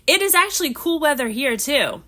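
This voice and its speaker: A woman's voice: high-pitched, big pitch swings, brisk, pushed and bright. A woman in her thirties, chatting casually on a livestream while she preps crafts, a little nasal from allergies, rambling with frequent restarts. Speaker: livestream host.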